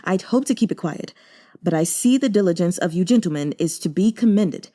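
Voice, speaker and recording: A stern, frosty nobleman who brushes off questions in short, clipped sentences, voiced by a woman narrator. The voice sits a little low and stays mellow rather than bright. Measured and commanding. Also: lively intonation. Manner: cold, clipped and authoritative. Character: cold, commanding nobleman, a stern grown man.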